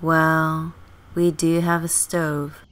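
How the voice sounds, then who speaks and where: A woman's voice: low voice, level tone, very slow, fairly bright tone, clean tone, exaggerated voice. A woman playing a stubborn, sardonic teenage runaway girl with a dry manner in a scripted game story. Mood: casual.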